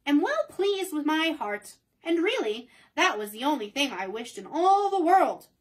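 A woman's voice: high voice, sing-song, measured pace, voice pushed up. A woman doing a tender-hearted tin man.

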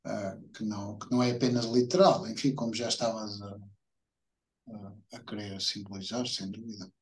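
A middle-aged man with a European Portuguese accent, hosting an informal interview and rephrasing his questions haltingly as he goes. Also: on the low side, fairly even intonation, rough recording. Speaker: host interviewer. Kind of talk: informal video-call interview. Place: indoor room, video call. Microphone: computer mic on a video call.

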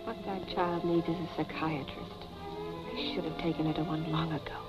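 A woman's voice: deep voice, little pitch movement, normal speed, lightly acted. An actress in her thirties playing a wry, wary society wife with a dry, poised manner in a 1940s Hollywood film. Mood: dry, weary. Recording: muffled vintage film soundtrack with music.

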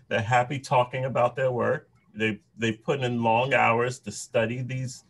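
A man moderates an online panel with a mid-pitched voice. He keeps his remarks brief and friendly. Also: level tone, unhurried, creaky.

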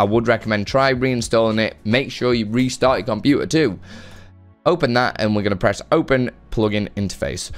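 A man in his thirties hosting a casual, chatty software tutorial at a close desk microphone indoors. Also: mid-range voice. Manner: chatty, informal. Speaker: tutorial host. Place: home room with guitars, indoors. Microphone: close desk microphone on boom arm.

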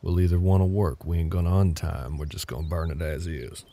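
A man voicing a gruff, terse older cowboy with a Southern US drawl and a gravelly voice in a roleplay audio drama. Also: deep voice, steady tone, fairly quick, warm tone. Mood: matter-of-fact, instructing. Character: gruff older cowboy ranch hand, grumpy, curt, grudgingly helpful.

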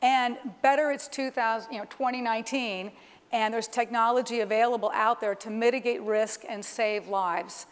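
A middle-aged woman presents a live keynote demonstration, explaining as she goes. Her voice is on the high side and rings with the long reverb of a large hall. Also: steady tone, normal speed, clean tone. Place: large conference stage, indoors. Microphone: clip-on lapel microphone. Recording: reverberant hall sound through PA.

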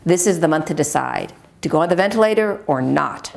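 A middle-aged American woman reading her own memoir aloud in a measured, earnest manner. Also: low voice, animated, gravelly. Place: at a wooden table in a home dining room.

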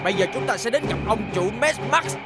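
A high-pitched, melodramatic male cartoon villain, boastful and cowardly at heart. He delivers his lines theatrically in a children's dub, over loud music. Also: expressive, brisk. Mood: gloating. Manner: melodramatic, cackling. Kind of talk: dubbed children's cartoon acting.

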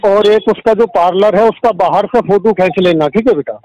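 A man's voice: high-pitched, moderate pace, a little mellow. A man speaking as a self-styled holy man on the phone, insistent and paternal, repeating himself and checking that he is understood. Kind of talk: phone conversation, sales pitch. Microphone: telephone. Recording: muffled lo-fi recorded phone call.

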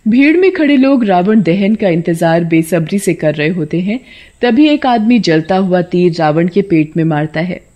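Story narrator: woman, slightly low pitch, scripted storytelling delivery. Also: lively intonation, moderate pace, dull audio.

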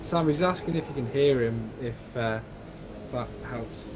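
A man's voice: medium pitch, unhurried, background noise. A young man, casually bantering and swearing while streaming a co-op game. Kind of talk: casual co-op gameplay chat. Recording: game music behind voice.

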